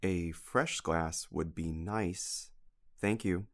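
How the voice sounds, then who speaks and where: A man's voice: low voice, very slow. A man playing a polite, slightly stiff bar customer in a radio play, speaking in a formal, wordy way. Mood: dry politeness.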